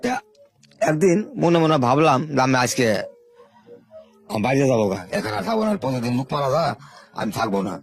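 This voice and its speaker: Fast-talking older man. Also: mid-range voice, expressive, soft background music. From Bangladesh, Sherpur. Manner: unhurried rustic storytelling, repeating words. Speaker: interview guest. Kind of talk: telling his life story in an interview.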